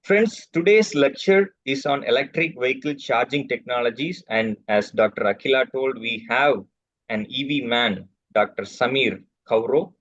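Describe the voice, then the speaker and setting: A man, hosting an online lecture and formally reading out a guest's credentials in measured bursts. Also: mid-range voice, a bit bright, no echo. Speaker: host introducing a guest speaker. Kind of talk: formal reading of a speaker introduction. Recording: dull, dry online call audio.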